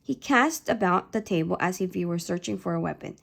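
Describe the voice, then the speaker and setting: A woman whose first language is Korean, reading a novel aloud in a steady, clear storytelling voice. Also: fairly low pitch, brisk. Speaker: reader.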